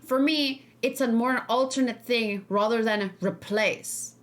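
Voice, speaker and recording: A woman's voice: on the high side, measured pace. A woman hosting a livestream, talking casually with her viewers in an emphatic, questioning way, repeating key words for stress. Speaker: streamer hosting a reaction and discussion.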